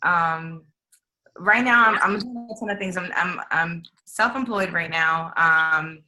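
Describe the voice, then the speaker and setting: A woman in her forties from Puerto Rico introducing herself on a webinar, halting and correcting herself as she goes. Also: on the low side, pushed and bright, rough recording. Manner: halting, self-correcting, laughing lightly. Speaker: panelist.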